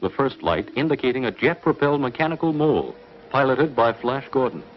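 An actor playing the dramatic narrator of a 1950s TV sci-fi serial, formal and measured. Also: male voice, mid-range voice, animated, slight background noise, close to an everyday voice. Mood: suspenseful. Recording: muffled 1950s soundtrack, faint music.

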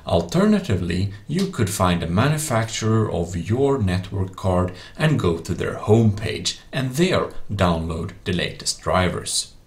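A man's voice: sits low, big pitch swings, measured pace, few pauses. A man narrating a step-by-step computer tutorial in an instructional style. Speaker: tutorial host. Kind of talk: instructional screen-recording narration.

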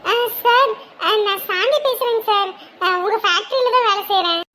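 A woman's voice: very high voice, normal speed, sharp tone, soft background music. An actress playing a practical, reassuring woman labourer who supports her co-worker, in drama dialogue. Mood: polite, hesitant. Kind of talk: film or serial drama dialogue.

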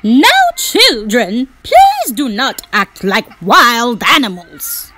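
A woman's voice: on the high side, huge pitch swings, slow, heavy background noise, voice pushed up, exaggerated voice. A woman voicing prim, scolding animal townsfolk in a picture book, speaking in a stiff, formal, precise way. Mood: stern, prim.

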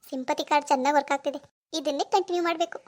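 A woman's voice: high-pitched, steady tone, very fast, close to an everyday voice. A woman playing a scheming, lazy young wife in a domestic drama, sly and theatrical in her delivery. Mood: smug. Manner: sly muttering alone, whiny playacting to others. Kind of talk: amateur domestic drama acting.

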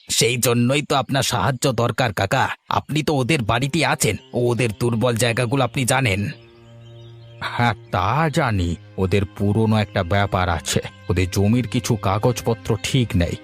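A man's voice: mid-range voice, close to an everyday voice. A man voicing a spiteful, greedy village schemer in a cartoon, with a conniving, accusatory manner. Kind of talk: cartoon dialogue acting.

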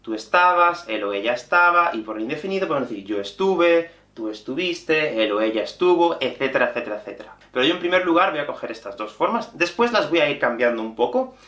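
Lively teacher, bright voice. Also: male voice, on the high side, fast, hardly any pauses. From Spain. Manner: animated, gestures constantly while explaining. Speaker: Spanish teacher. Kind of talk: online language lesson. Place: small room with bookshelf and whiteboard. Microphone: camera at mid-shot distance. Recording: echoey small room.

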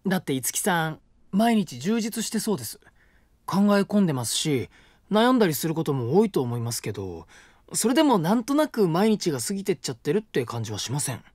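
A gentle, mature university student next door. He talks politely and calmly, with a lively lilt and a touch of teasing. The recording is a dry, close studio take. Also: fairly high pitch, a little fast, dry recording. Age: 20s. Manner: soft, polite and calm, lightly teasing. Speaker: voice actor. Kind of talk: voice drama acting. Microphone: close studio mic.

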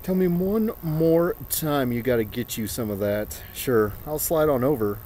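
A man in his thirties with a soft, dark tone recites in a mock-dramatic style. His phrasing is theatrical, stretched out and broken by deliberate pauses. He is recorded outdoors on a camera, with some noise behind him. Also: mid-range voice, animated. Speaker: performer. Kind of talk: mock-dramatic reading of song lyrics. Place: outdoors in a snowy residential yard.

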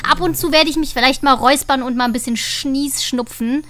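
A woman's voice: sits high, a little fast. A woman with a cold, chatting casually and joking as she plays through an adventure game. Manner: chatty, joking, thinks out loud. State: has a cold. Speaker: let's play host. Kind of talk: casual gameplay commentary.

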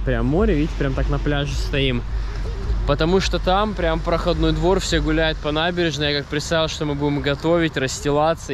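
Man, 30s, rambling travel vlog, handheld camera, outdoors, noisy background. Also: on the high side. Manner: chatty, rambling, talks while walking. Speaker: travel vlogger. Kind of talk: casual walking vlog. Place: outdoors by a seaside harbor. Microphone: camera held at arm's length. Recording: outdoor wind and street noise.

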